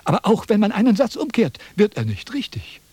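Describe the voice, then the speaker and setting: High-voiced, pompous philosopher. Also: male voice, wildly swooping pitch, fairly quick, warm tone, breathy edge, dry recording, exaggerated voice. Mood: pompous lecturing. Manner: pompous, lecturing, theatrically declaiming. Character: pompous, quarrelsome male Chinese philosopher in a threadbare coat. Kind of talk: German radio play acting.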